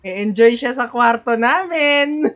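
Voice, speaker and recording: A woman's voice: on the high side, lively intonation, measured pace, fairly bright tone. A woman with a Filipino accent giving casual, playful gameplay commentary, teasing and chatting fondly to the characters. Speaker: game streamer.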